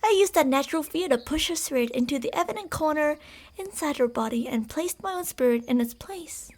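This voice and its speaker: A woman voicing an obsessive female fox spirit in a borrowed human body, speaking in a calm, formal, quietly manipulative way that dips into whispers. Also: sits high, fairly quick. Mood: composed. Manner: soft-spoken, dipping into whispers. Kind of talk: ASMR roleplay.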